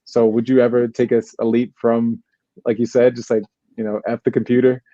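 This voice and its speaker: Mellow-voiced, chatty male podcast host. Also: mid-range voice, level tone, fairly quick, dry recording, muffled recording. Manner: easygoing, chatty, rambling questions. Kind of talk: casual podcast conversation.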